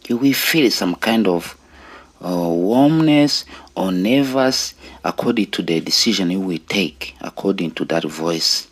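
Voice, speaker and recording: A middle-aged man with a Rwandan accent giving an earnest personal testimony in deliberate, short phrases with pauses. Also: sits low, lively intonation. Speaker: storyteller sharing own life story.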